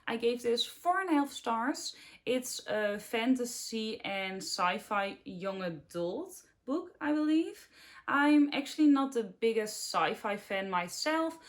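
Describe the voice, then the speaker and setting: A woman in her twenties from the Netherlands with a Dutch accent, chatting casually and a little ramblingly about her favorite books in a vlog. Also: on the high side. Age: 20s. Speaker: book vlogger. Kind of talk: casual book review vlog.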